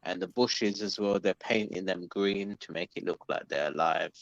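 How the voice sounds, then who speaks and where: A man's voice: deep voice, steady tone, moderate pace, no echo, lo-fi sound. A man from London with a London accent, hosting a casual livestream chat, talking in a chatty, hesitant way with many ums. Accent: London English. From London, England. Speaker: livestream host reading chat.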